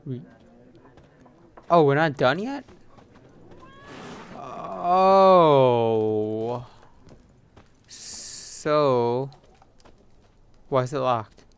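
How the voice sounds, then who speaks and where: A man's voice: medium pitch, very slow, pauses often, clear, resonant tone. A man giving casual commentary as he plays a video game, at times reading on-screen text aloud. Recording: game music behind the voice.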